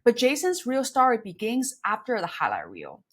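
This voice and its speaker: A woman's voice: fairly high pitch, wildly swooping pitch, moderate pace, breathy edge. A woman from China hosting an interview podcast, moving between scripted introduction and conversational questions. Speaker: podcast host.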